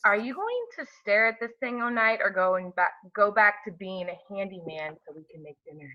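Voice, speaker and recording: A woman's voice: medium pitch, animated, moderate pace, dull audio, lightly acted. A woman playing a playful young wife in her late twenties, with a casual, teasing manner, in a table read of a film script. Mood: puzzled, casual.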